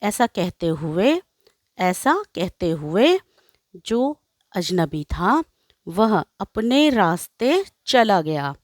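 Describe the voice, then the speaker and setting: A woman's voice: mid-range voice, big pitch swings, very slow, no echo, studio quality. An Indian woman teaching English through line-by-line translation, explaining and repeating phrases with frequent check-in tags.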